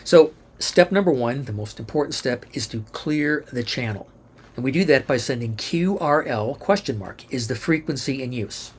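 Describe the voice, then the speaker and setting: A man's voice: mid-range voice, lively intonation, normal speed, a little hiss or hum. A man narrating an instructional tutorial, explaining methodically step by step and spelling out letters one at a time. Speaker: instructor and presenter.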